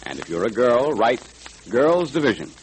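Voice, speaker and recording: An American man works as a 1930s radio announcer, with a mid-range voice and highly animated intonation. He is emphatic and deliberate as he addresses young listeners, over a faintly hissy vintage recording. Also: rough recording.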